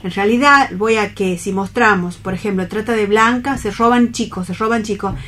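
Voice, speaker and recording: A woman with an Argentine accent talking earnestly and haltingly in a reflective radio discussion. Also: medium pitch, a bit bright. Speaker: panelist on a radio theatre programme.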